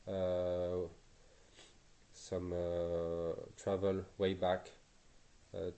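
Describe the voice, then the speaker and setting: Slow, halting man from Tahiti. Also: sits low, completely flat delivery, dark tone. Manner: halting, searching for words. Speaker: guest. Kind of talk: casual interview.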